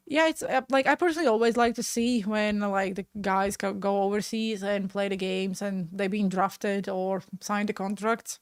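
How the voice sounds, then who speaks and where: A woman's voice: medium pitch, darkish tone, no echo, studio quality. A young woman in her twenties from Latvia, speaking with a Latvian accent, chatting casually and frankly with her live-stream viewers, often trailing off mid-word.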